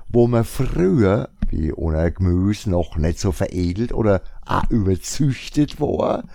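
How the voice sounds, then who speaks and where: Franconian guy rambling on his shop blog, slow and mellow, dry close sound. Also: lowish voice, vocal fry, dry recording. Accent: Franconian German. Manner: chatty, rambling, wry asides to listeners. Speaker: shop blogger. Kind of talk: casual spoken blog monologue.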